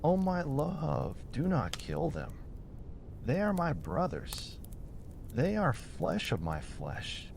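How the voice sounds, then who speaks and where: Man reading as merciful old man, expressive pitch. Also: slow, gravelly, noisy background, exaggerated voice. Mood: pleading. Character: old man with two dogs, merciful, pleading brother.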